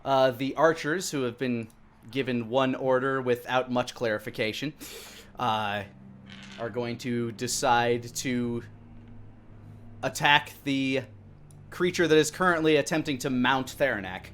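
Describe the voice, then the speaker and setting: A man's voice: medium pitch, steady tone, a little slow, a bit bright, slight background noise. A man in his thirties narrating and making rulings as game master on a live tabletop game stream. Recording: background music behind the voice. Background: music.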